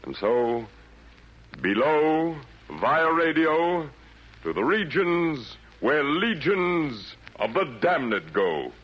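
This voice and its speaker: A man narrating a scripted 1940s radio broadcast as announcer, formal, measured and theatrical. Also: fairly high pitch, sing-song, lo-fi sound.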